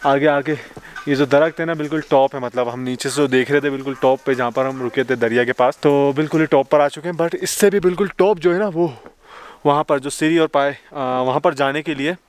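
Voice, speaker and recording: A young man vlogging casually outdoors on a snowy mountain road, chatty and excitable, talking to a camera held at arm's length. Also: on the high side, a little fast, clean tone. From Pakistan. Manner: chatty, excitable, laughs often. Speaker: travel vlogger. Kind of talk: casual travel vlog. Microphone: handheld camera at arm's length. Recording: outdoor noise, wind and vehicles.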